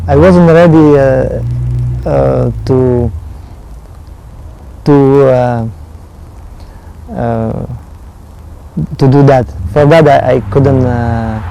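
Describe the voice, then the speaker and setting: A former footballer with a Slovak accent recalls a match in halting non-native English, with many pauses and restarts. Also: male voice, medium pitch, fairly even intonation, creaky, dark tone, a little hiss or hum, poor audio. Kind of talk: interview.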